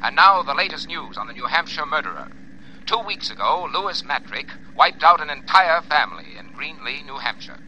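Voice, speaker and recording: A very sharp, forceful newsreader, pitched on the high side. He delivers a formal vintage bulletin through a car radio with faint noise. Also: very animated, a little fast, heavily acted, low-quality recording. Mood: urgent, formal. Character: brisk, formal radio newsman reading crime bulletin. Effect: heard through a car radio. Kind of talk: 1940s radio newscast.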